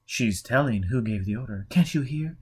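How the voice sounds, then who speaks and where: A man voicing a tormented burning man from an audiobook, in an anguished, halting, urgent way. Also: medium pitch, expressive, a little mellow, husky. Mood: mocking, anguished.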